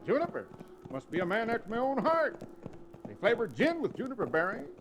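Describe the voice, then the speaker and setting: An actor playing a crusty, jokey cowboy sidekick with a folksy, exclamatory way of talking in a radio drama. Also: male voice, extremely high pitch, huge pitch swings, brisk, a little hiss or hum, exaggerated voice. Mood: feisty. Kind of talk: old-time radio Western acting.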